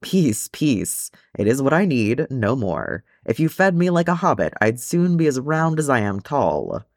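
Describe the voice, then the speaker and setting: A man voicing a hearty, good-humoured, proud dwarf in a storybook reading, with measured, old-fashioned phrasing. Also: medium pitch, sing-song, no echo, studio quality, voice pushed up.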